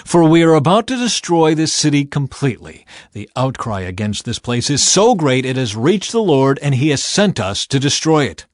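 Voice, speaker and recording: A man's voice: medium pitch, sing-song, moderate pace, hardly any pauses, dry recording, studio quality, pitched above the performer's own voice, close to an everyday voice. A man voicing a stern, insistent angel who gives urgent, commanding warnings in a scripture audiobook.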